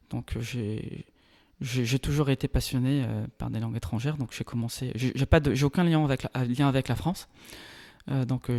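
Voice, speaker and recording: Guest lawyer, thirties, from Brazil, Portuguese. Mellow, measured, pauses to find words. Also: male voice, mid-range voice. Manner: measured, explanatory, searching for words. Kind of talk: casual interview talk.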